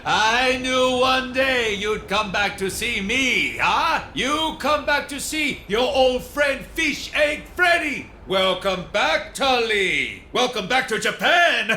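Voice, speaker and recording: A boisterous male market dealer in an audio drama. His voice is very high and sharply bright, stretched out slowly and grandly. It rings in an echoey space with faint noise behind it. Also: animated, heavily acted. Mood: gleeful, loud. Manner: exuberant, loud, drawn-out delivery. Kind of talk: full-cast audio drama acting.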